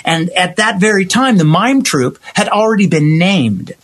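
A middle-aged American man explaining things in an animated, conversational way, with a theatrical storyteller's habit of acting out little quotes. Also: high voice, moderate pace. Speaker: guest, theater playwright, actor and director.

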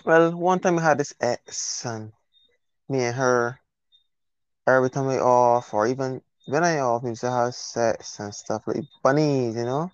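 A man's voice: medium pitch, measured pace, clear, resonant tone, no echo. A man from Barbados with a Barbadian accent, a guest telling stories in an easygoing, laid-back way. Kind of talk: casual podcast chat. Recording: dry, dull remote line.

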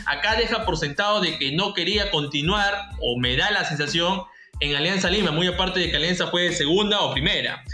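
A man from Peru hosts a football talk show, speaking in a bright, forceful, fairly high voice. He lays out firm opinions with plenty of emphasis. The sound is roomy and echoey, with faint music underneath. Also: brisk. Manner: opinionated, emphatic, uses Peruvian sports slang. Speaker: sports show host. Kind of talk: casual sports talk commentary.

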